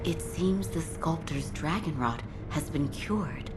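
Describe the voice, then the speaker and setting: A woman voicing a calm, gentle young female attendant in a video game, polite and composed. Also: sits low, slow pace. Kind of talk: dramatic video game dialogue. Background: music.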